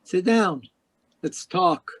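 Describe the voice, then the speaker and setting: A man's voice: sits high, slow pace. A man playing a tough, wisecracking private investigator in a 1940s-style radio noir, terse, cynical and slangy. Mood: tough, pressing. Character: tough, wisecracking hardboiled private investigator narrating his case.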